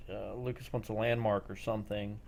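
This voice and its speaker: A man running an improvised role-play as game master, thinking aloud in halting phrases. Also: fairly low pitch, flat delivery. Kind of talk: improvised narration of an online tabletop-style role-play. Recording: clear background noise.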